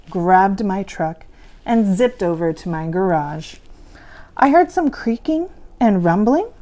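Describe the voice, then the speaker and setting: Female spooky-hostess storyteller for kids: theatrical, playful, unhurried, with big swings in pitch. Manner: dramatic, playful, hushed for suspense. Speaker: costumed horror-hostess storyteller for children.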